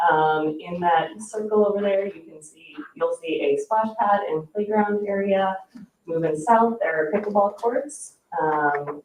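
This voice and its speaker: A woman's voice: on the low side, a little mellow. A woman engineer giving an orderly, explanatory presentation at a public meeting. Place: indoor municipal meeting room. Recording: muffled, echoey room, distant mic.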